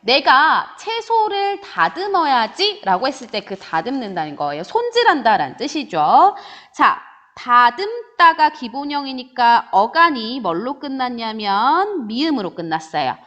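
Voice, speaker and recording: Lively high-voiced woman teaching grammar. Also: lo-fi sound. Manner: lively teacher voice, answers imagined student questions, drawn-out example words. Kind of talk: online grammar lecture.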